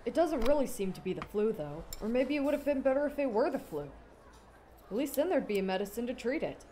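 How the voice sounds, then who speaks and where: A woman's voice: a little high, very animated, fast talker, mellow, a little hiss or hum. A woman playing a mild, chatty and polite teenage schoolboy classmate in an anime fandub. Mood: casual, matter-of-fact.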